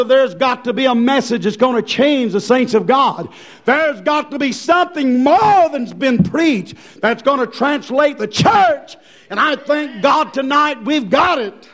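An American man preaches with revivalist fire in a very high-pitched voice. He is impassioned and emphatic, driving his points home with repeated phrases and questions. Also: a bit bright. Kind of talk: fervent revivalist Pentecostal sermon.

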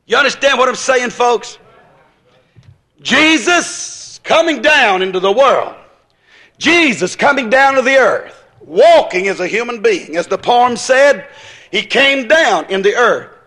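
High-voiced man preaching, bright and forceful. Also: big pitch swings, unhurried. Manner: emphatic, repetitive, building phrases into shouts; folksy, colloquial phrasing. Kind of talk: impassioned sermon with acted-out dialogue.

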